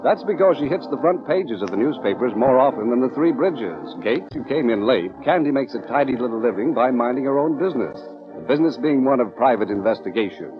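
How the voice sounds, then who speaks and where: Lively vintage male radio announcer over organ. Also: medium pitch, a little fast, hardly any pauses. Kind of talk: 1940s radio scripted narration. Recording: dull old radio recording. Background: organ music.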